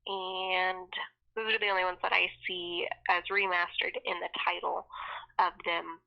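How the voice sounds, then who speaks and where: A woman's voice: fairly low pitch, level tone, unhurried, vocal fry. A woman working as a customer service representative, patiently and hesitantly explaining things on a muffled phone call. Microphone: telephone call. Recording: dull, muffled phone line.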